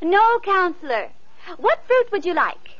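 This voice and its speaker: Sweet polite young girl, high and lively, old muffled radio sound. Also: a little slow, full character voice. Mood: cheerful. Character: gentle kind-hearted young girl, mistreated sister. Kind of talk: 1940s radio acting.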